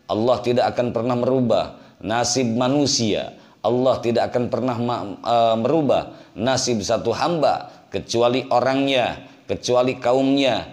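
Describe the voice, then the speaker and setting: Man, mid-pitched voice, Islamic preacher, didactic sermon delivery, repeated phrases, rhetorical questions. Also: darkish tone. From West Java, Indonesia. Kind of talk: religious sermon to a congregation.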